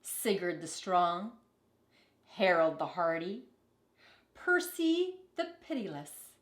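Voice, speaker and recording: A woman reading a children's picture book aloud in an expressive, deliberate storytelling manner. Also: fairly low pitch. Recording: echoey, roomy sound.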